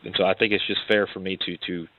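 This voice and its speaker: A low-pitched American man, a police detective, explains himself apologetically and haltingly while asking questions. He comes through on a dull, lo-fi phone recording. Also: flat delivery.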